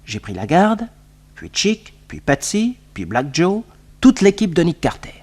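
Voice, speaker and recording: An actor playing a detective's eager nephew, telling the case as a lively storyteller in a French radio serial. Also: male voice, a little high, unhurried, heavily acted. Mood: eager, suspenseful.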